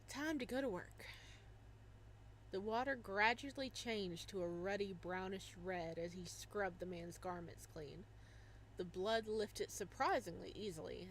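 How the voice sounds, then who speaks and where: A woman streamer reading a visual novel aloud in an expressive way. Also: mid-range voice, slight background noise, rough recording. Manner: expressive, shrieks and laughs at surprises. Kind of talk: dramatic reading of game text with reactions.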